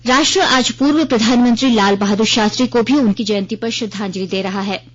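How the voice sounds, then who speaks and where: A woman reading a scripted radio news bulletin in a formal, measured way. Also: fairly high pitch, highs cut off.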